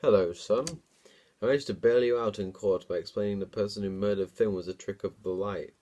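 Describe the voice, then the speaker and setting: A man's voice: on the low side, fairly quick, dark tone, lightly acted. A man voicing a matter-of-fact father who explains things plainly to his son in a story reading. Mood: reassuring.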